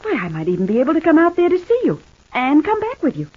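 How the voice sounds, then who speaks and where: An actress playing a devoted, gentle wife recovering in hospital in a 1950s radio soap, speaking tenderly and earnestly. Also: female voice, sits high, wildly swooping pitch, quick pace. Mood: earnest, pleading. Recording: dull, band-limited old radio recording.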